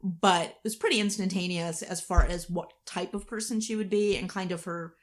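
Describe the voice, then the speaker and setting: Female podcast co-host, somewhat low voice, informal conversational delivery, unscripted. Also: steady tone, moderate pace. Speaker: podcast co-host, writer and performer. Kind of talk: casual behind-the-scenes podcast chat.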